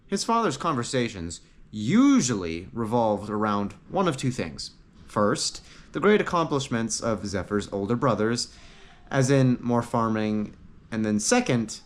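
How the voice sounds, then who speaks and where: A man narrating a fantasy story from a script, with dramatic pauses and short emphatic phrases. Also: medium pitch. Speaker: audiobook narrator.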